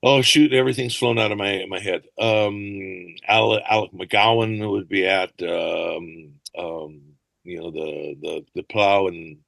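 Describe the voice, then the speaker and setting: An older man with a low, gravelly voice rambles through anecdotes as a podcast guest. The sound is close and dry, with no room around it. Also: steady tone, measured pace, a bit bright, dry recording. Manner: rambling storyteller, slips into accent impressions. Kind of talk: casual interview chat.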